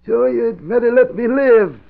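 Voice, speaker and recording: High-voiced, stubborn old man, vintage radio. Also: vocal fry, full character voice. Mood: defiant, measured. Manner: halting, drawn-out delivery with hesitations. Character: cunning, stubborn old man, nervous but defiant. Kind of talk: vintage radio crime drama acting. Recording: dull, band-limited vintage radio recording.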